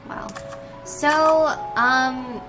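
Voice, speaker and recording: Excitable woman reacting, music behind. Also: sits high, low-quality recording. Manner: excitable, rambling, exclaims in drawn-out bursts. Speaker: host of a reaction video. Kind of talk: casual, gushing reaction commentary. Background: music from the video being watched.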